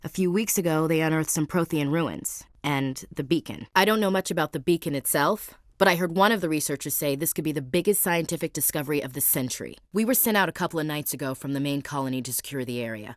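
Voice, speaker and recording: A woman's voice: low voice, fast, no room sound, clean studio sound, lightly acted. An actress playing a tough, blunt young female marine in a video game, speaking in a direct, clipped soldierly manner. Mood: steady. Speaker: game character.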